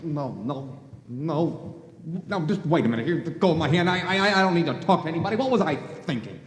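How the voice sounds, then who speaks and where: An actor playing an earnest, flustered small-town young husband in a live radio play, stammering and hesitant in a folksy way. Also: male voice, a little high, animated, brisk, a little hiss or hum, close to an everyday voice. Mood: indignant. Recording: echoey hall, live stage.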